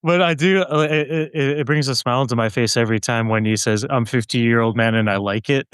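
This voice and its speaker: A man's voice: medium pitch, fairly quick, clear, resonant tone, dry recording, studio quality. Laughing, enthusiastic man on a podcast. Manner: laughs often, animated and enthusiastic, swears casually. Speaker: podcast co-host. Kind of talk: casual chat about an album.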